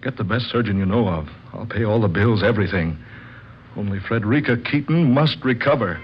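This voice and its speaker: An actor playing an outwardly devoted, scheming husband in a melodramatic old-time radio drama style, on a worn old recording. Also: male voice, lowish voice, expressive, fairly quick, highs cut off, noisy background, lightly acted. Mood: anxious, earnest. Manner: earnest, melodramatic.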